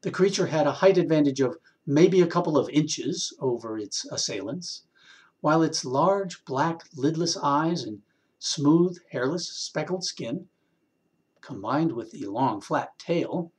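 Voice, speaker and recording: A man reading a short story aloud in an expressive, wry storyteller's manner with deliberate pauses. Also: fairly high pitch. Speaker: story reader, actor.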